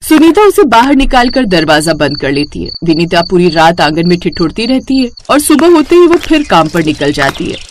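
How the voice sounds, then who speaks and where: A woman's voice: lowish voice, very animated, moderate pace, few pauses. A woman reading scripted story narration as the narrator, with faint noise in the recording.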